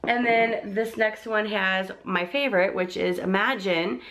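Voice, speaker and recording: A woman's voice: medium pitch, a little slow, fairly bright tone. A young woman, a vlogger getting over laryngitis with a slightly crackly voice, chatting in a gushing, casual way about a shopping haul. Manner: chatty and gushing, with frequent likes and ums. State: recovering from laryngitis, a bit crackly.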